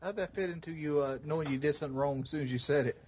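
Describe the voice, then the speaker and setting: A man in his twenties with a raspy voice, chatting casually as a podcast host. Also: on the high side, rapid-fire, darkish tone, slight background noise. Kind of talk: casual chat.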